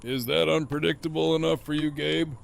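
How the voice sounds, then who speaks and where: Man playing sardonic middle-aged villain, gravelly fry, taunting drawl, audio drama, faint noise behind. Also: on the high side, steady tone, brisk. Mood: smug, mocking. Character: mocking, foul-mouthed middle-aged male antagonist, gleefully needling.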